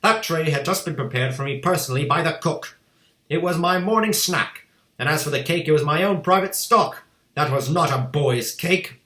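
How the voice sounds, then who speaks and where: A man's voice: mid-range voice, close to an everyday voice. A man voicing a cruel, furious headmistress who barks and screams insults at a pupil. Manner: barking, shouting insults. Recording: echoey room.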